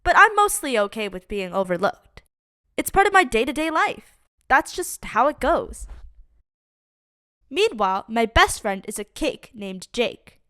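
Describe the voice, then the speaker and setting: Lively woman reading a kids' story. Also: on the high side, unhurried, no room sound, clean studio sound. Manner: lively, expressive reading for kids. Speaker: children's book reader. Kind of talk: read-aloud storytime.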